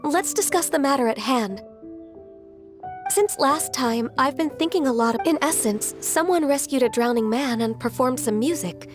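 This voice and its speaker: A woman voicing a gentle, shy, earnest young woman in a video game, soft, polite and hesitant. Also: high voice, expressive, moderate pace, music in the background. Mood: earnest, reassuring.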